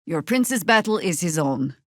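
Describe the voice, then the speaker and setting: Casting: middle-aged female druid warrior, fantasy game. Wry, battle-seasoned, authoritative, lowish register, dry studio sound. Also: animated, a bit bright, no echo. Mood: calm, resolute. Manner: dry, sardonic, measured. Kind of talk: fantasy video game voice acting.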